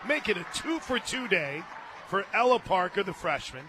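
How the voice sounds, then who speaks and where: A man with an American accent doing live play-by-play radio commentary of a softball game, moving between chatty asides and excited calls. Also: high-pitched, normal speed, crowd noise. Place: open radio booth at a ballpark. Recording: outdoor stadium noise behind the voice. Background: ballpark ambience.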